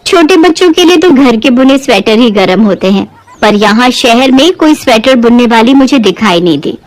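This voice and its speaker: Lady doing a friendly talkative city lady for a cartoon story, high voice, courteous. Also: lively intonation, normal speed, lightly acted. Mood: friendly, requesting. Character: friendly, chatty city woman customer. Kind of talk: Hindi moral-story cartoon voicing.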